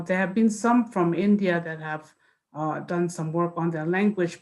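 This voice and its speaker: A woman whose first language is Mizo speaks in a somewhat low voice over a video call. She introduces herself in a lecture, pausing often with fillers and restarts. Her delivery is unhurried and thoughtful. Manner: hesitant, many fillers and restarts. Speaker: guest speaker, linguist.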